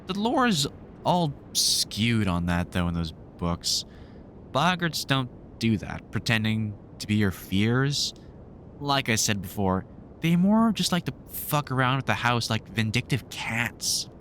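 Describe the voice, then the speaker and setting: Male actor as vampire hunter mentor for audio roleplay: wry, sweary, protective, very animated intonation, slight background rumble. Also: slow pace. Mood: explaining fondly. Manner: wry, sweary, exasperated but fond banter. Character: gruff, sardonic British vampire hunter, protective, teasing mentor. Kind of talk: roleplay audio drama addressed to the listener.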